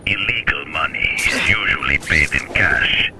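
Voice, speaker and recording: An actor playing a calm, menacing older hunter who mentors the hero in a video game, speaking in a measured, commanding way with a deep gravelly voice. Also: male voice, heavy background noise, heavily acted. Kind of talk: video game cutscene dialogue.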